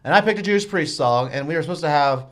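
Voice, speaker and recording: High shrill-voiced man, rambling. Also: fast. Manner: rambling, animated storytelling with restarts. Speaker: band singer as studio guest. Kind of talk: casual interview chat. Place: college radio studio.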